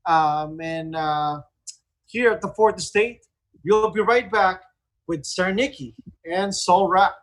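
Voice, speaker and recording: A man's voice: sits high, slow. A man with a Filipino accent chatting casually as the host of a radio talk show.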